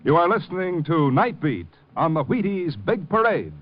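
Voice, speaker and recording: A man's voice: a little high, very animated, normal speed. A man working as a 1950s radio announcer, reading scripted credits. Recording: muffled old 1950 radio broadcast with music.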